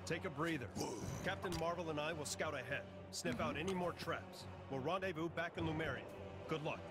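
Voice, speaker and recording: Heroic team leader male actor, brisk and clipped, slightly high register, dutiful, prominent score underneath. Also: natural voice. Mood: resolute. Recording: loud music under the voice.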